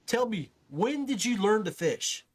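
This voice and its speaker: A man's voice: high-pitched, big pitch swings, a little slow, slightly rough. A man hosting a fishing podcast, interviewing a guest in an informal, buddy-to-buddy way. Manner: informal, addresses listeners and guest as guys, buddy, brother. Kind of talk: casual talk show hosting.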